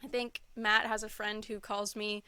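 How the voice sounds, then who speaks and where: Clear-voiced, chatty young woman. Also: medium pitch, flat delivery, normal speed, pushed and bright, no echo. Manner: chatty, enthusiastic, often giggling mid-sentence. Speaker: interview guest, content creator. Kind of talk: casual chat, answering interview questions.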